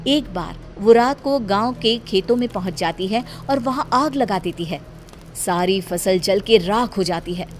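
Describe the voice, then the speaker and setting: Woman narrator, cartoon horror story, steady storytelling, light music behind. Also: medium pitch.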